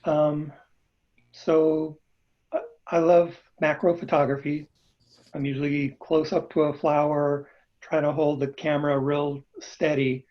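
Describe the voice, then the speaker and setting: A man joining a video call as a participant, sharing a thoughtful reflection in an unhurried way. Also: on the high side, flat delivery. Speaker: workshop participant. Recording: dull lo-fi video call audio.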